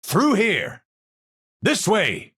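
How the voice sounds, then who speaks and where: An actor playing an eccentric, unstable Dutch astrophysicist in a video game, learned and grandiose, with theatrical, professorial diction. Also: male voice, high voice, wildly swooping pitch, a bit bright, slightly rough, heavily acted. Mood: urgent, commanding. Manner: theatrical, professorial, slow and deliberate wording.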